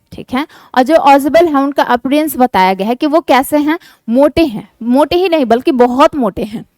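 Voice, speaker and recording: A woman teacher, reading English textbook lines slowly and then explaining them in Hindi, with many filler checks like "okay". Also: high voice, a little mellow, no echo.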